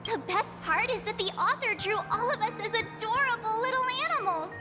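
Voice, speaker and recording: An actress voicing a bubbly, cheeky young woman adventurer in a video game, bright and playful. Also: very high-pitched, brisk. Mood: perky. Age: young adult. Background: game soundtrack music.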